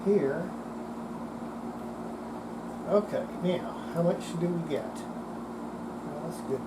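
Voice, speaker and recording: A plainspoken repair demonstrator with a medium-pitched voice gives casual hands-on commentary. The speaker thinks aloud while working. A clearly audible steady hum of room noise runs behind the voice. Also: extremely slow.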